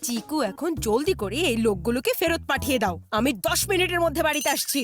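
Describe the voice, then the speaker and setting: Cartoon mother: very animated, high voice, dramatic, quick to exasperation. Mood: exasperated. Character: dramatic, easily exasperated mother of two boys. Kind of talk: cartoon dub acting. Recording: music behind the voice.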